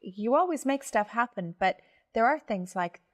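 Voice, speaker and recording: A woman's voice: fairly high pitch, moderate pace. Chatty woman hosting a podcast. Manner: chatty, animated storyteller, frequent agreeing "yeah". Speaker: podcast host. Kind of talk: casual podcast chat.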